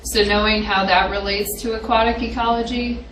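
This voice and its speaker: A young woman with a quiet voice giving an informal study-session lecture, hesitant with frequent ums. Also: mid-range voice, fairly even intonation, normal speed. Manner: hesitant, many ums, laughs softly. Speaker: presenter on aquatic ecology. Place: classroom with hard walls. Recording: echoey classroom from far off, background hiss.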